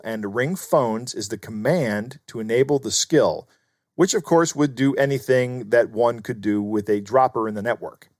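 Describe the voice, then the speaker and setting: A man's voice: medium pitch, no echo. Co-host: American man, mid-range voice, conversational, comfortable reading correspondence aloud, recorded very dry. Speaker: podcast co-host. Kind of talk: casual chat and reading out listener mail.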